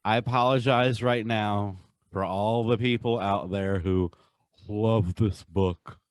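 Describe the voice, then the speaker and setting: A man's voice: fairly low pitch, steady tone, slow, no echo. A man in his forties chatting casually as a podcast host, gushing and often repeating himself.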